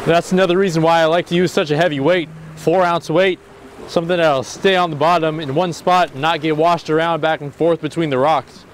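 A young man with a fairly high voice talks through his fishing trip on a rocky sea jetty. He explains his gear in a relaxed way and bursts into loud exclamations when a fish hits. The action camera picks up clear wind and water noise around him. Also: a little fast. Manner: excitable, exclaims loudly when fish bite. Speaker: fishing vlogger. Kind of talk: casual solo vlog narration. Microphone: action camera, near and far.

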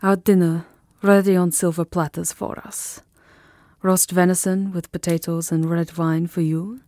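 A woman playing a tender, lovesick vampire countess in an intimate ASMR roleplay, speaking softly and often dropping into a whisper, with formal, wistful endearments. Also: on the low side, little pitch movement, a little slow, dry recording, studio quality, lightly acted. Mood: seductive. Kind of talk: ASMR roleplay, soft intimate romantic acting. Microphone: close microphone, dry. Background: music.

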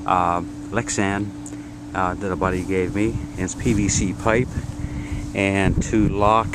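A man narrating his homemade build in a casual, halting way, filming outdoors in a backyard. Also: fairly low pitch. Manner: halting, many uh fillers, matter-of-fact. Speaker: DIY builder. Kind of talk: casual show-and-tell narration. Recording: noisy outdoor camera audio. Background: wind and outdoor ambience.

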